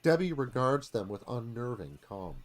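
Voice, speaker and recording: A man's voice: mid-range voice, very animated, moderate pace. A man giving a dramatic scripted narration, reading stage directions as narrator.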